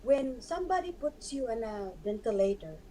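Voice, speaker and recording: A woman with a hoarse, scarred voice, earnestly explaining as a guest on a live stream. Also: on the high side, animated, normal speed, over a noisy crowd, rough recording.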